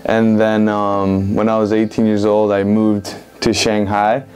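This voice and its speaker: A young man in his twenties from Venezuela, giving relaxed, rambling interview answers full of "like" and "um". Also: lowish voice, measured pace, smooth. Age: 20s. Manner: relaxed, rambling with many fillers like "like" and "um". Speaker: interviewed street photographer. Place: indoor room with bookshelves.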